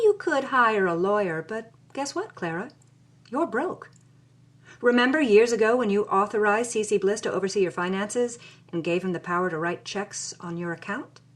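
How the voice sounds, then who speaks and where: Woman playing nasty rich old grandma, husky, swoopy menacing delivery, cold and haughty drama monologue. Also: medium pitch, fairly bright tone. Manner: haughty, menacing, deliberate emphasis. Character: cold, vindictive older wealthy grandmother reading her threatening letter.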